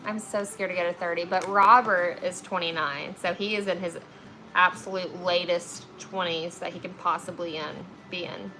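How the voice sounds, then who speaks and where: A woman's voice: lowish voice, steady tone, moderate pace, sharp tone, soft background music, low-quality recording. A woman in her twenties, a flower preservation artist hosting a livestream, who chats casually with viewers while she works and fills her talk with "like" and "okay?". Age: 20s. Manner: chatty, filler-heavy, often checks in with "okay?". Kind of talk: casual livestream chat while working, reading viewer comments.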